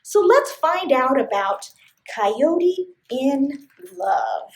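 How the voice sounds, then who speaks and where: High-pitched, very animated woman storyteller. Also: drawn-out words, reverberant, a little hiss or hum. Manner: expressive, sing-song, asks the listeners questions. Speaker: library story time host and reader. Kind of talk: animated storytelling for young children.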